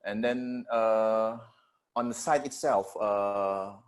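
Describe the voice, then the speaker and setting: A man speaking as a security panelist on a webinar video call, halting with many fillers and restarts. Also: fairly low pitch, steady tone.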